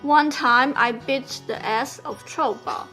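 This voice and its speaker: A woman's voice: sits high, slow pace, sharp tone, faint music behind. A young woman from Taiwan, teasing and chatting casually with her partner. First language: Mandarin Chinese. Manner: teasing, switches freely between English and Mandarin. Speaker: vlog co-host. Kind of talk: casual couple chat.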